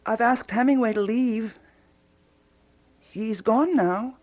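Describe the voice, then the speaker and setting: A woman playing an eccentric, earnest amateur investigator in a radio drama, with a deliberate, unflappable manner. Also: fairly high pitch, darkish tone. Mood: pleading. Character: Gertrude, eccentric, matter-of-fact, obsessive woman amateur investigator.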